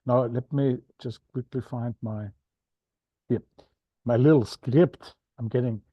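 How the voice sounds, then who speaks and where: A man's voice: mid-range voice, steady tone, a little slow, slightly rough, dry recording. A man with an Austrian accent hosting an interview podcast, chatty and a little self-deprecating as he signs off. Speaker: podcast host. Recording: dull, dry lo-fi sound.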